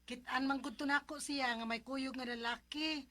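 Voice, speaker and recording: A woman's voice: sits high, fairly even intonation, moderate pace, very noisy, natural voice. A woman acting a sly, gossiping acquaintance in a radio drama, with a Cebuano Filipino accent. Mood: gossipy. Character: gossiping female acquaintance, slyly tattling.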